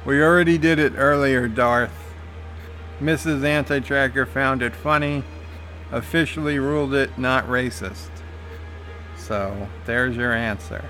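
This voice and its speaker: A man's voice: mid-range voice, steady tone, measured pace, bright, forceful tone, faint music behind, poor audio. A man streaming a game, reading viewer comments aloud and answering them casually, with lots of "uh" fillers.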